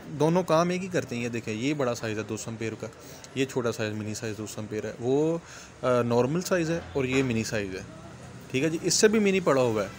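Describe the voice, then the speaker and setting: A man's voice: mid-range voice, a little hiss or hum. A middle-aged man, casually demoing a welding machine at his shop counter and calling viewers "brother". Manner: chatty, repeats "bhaijan" and "theek hai ji". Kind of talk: casual product demo.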